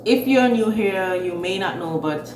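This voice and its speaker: A woman's voice: mid-range voice, measured pace, reverberant, far from the mic, faint music behind, rough recording. A woman in her thirties from Jamaica with a Jamaican accent mixed with Bahamian, chatting casually and expressively to viewers as a vlogger. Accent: Jamaican with Bahamian mix. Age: 30s. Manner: chatty, expressive, repeats words for emphasis. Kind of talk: casual storytime chat.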